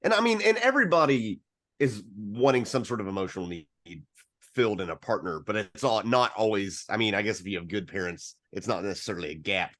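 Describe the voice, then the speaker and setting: A man's voice: fairly low pitch, animated, a little fast, fairly bright tone. A man co-hosting a casual film discussion, talking in a wry, opinionated way with easy profanity. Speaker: film podcast co-host.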